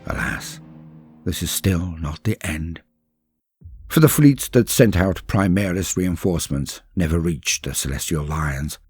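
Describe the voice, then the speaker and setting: Deep, gravelly-edged solemn male narrator. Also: darkish tone. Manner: solemn, grave, measured storyteller. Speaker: narrator and host of a lore channel. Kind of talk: dramatic scripted lore narration.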